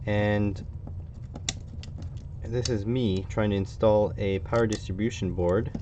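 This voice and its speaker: Low-voiced Canadian man thinking aloud. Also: steady tone, takes it slowly, warm tone. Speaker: hobbyist electronics streamer. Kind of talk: casual live stream chat while working. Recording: dull, slightly lo-fi with faint noise.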